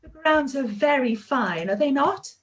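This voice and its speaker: An actress playing an expressive, warm middle-aged aunt in a radio play reading. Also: female voice, high-pitched, measured pace. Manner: gushing, expressive. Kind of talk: live-read radio play.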